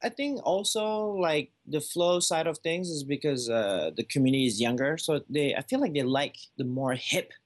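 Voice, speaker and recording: A man's voice: a little high, expressive, normal speed, hardly any pauses. A man in his thirties from Malaysia, a juggler answering interview questions casually with lots of fillers. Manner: easygoing, lots of "like" fillers and restarts. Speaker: juggler, guest.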